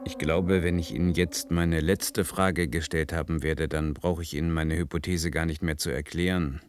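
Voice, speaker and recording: An actor playing an earnest researcher in a German science fiction radio play, deliberate and probing as he explains. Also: rumbling low, fairly even intonation, slight background noise. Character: earnest male researcher methodically unfolding a hypothesis.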